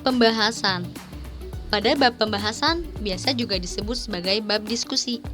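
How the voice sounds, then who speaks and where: A woman's voice: mid-range voice, normal speed, fairly bright tone. A young adult woman reads a scripted student presentation in a formal, carefully enunciated way. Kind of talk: scripted presentation narration, reading a paper aloud. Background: background music.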